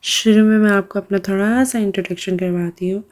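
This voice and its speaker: A woman in her thirties with a Pakistani Urdu accent, narrating her daily routine in a casual voice-over while hoarse from a cold, with hesitations and throat clearing. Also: mid-range voice, fairly even intonation, brisk, a little mellow. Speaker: vlogger.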